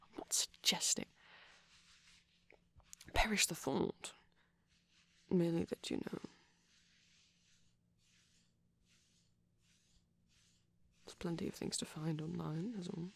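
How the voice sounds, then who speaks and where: Man playing a breathy, shy vampire boyfriend. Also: fairly high pitch, fairly even intonation, measured pace, pauses often, dry recording, full character voice. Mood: shy, tender. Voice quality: soft, breathy, husky, often near a whisper. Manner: hesitant, bashful, rambling tenderly. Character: shy, gentle young male vampire boyfriend. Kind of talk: intimate ASMR boyfriend roleplay.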